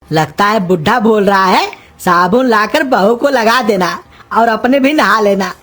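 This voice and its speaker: A woman's voice: medium pitch, animated, a little slow, background noise, close to an everyday voice. A woman voicing a silly, half-deaf young male cartoon helper, whiny and naive. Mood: confused, querulous. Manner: whiny, naive, loudly querulous. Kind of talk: cartoon comedy dialogue in rustic Hindi.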